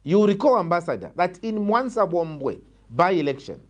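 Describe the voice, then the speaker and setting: Zambian man, animated and emphatic, higher-pitched. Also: normal speed, dull audio. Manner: emphatic, repeats phrases for stress. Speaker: opposition party politician, guest. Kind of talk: political interview answers, argumentative.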